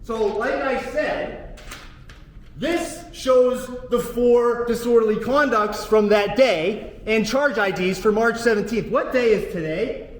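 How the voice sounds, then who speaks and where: A man standing trial in a courtroom, angry and profane, often shouting and swearing at the court. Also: very high voice, slow pace. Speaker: defendant. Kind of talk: courtroom outburst. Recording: echoey courtroom with long reverb.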